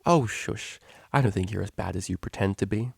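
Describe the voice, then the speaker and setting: A man's voice: lowish voice, a little fast, creaky, husky, no room sound, clean studio sound, heavily acted. A man voicing a prim, indignant yet fond female pony cellist with proper, formal phrasing in a story reading. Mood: teasing.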